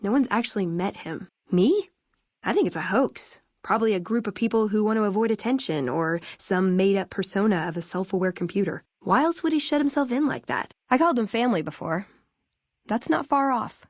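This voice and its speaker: A woman's voice: medium pitch, animated, fairly quick, darkish tone, no echo, studio quality, natural voice. An American woman playing a wry, earnest young scribe in a video game, speaking in a conversational way. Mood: wry, casual. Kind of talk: video game character dialogue.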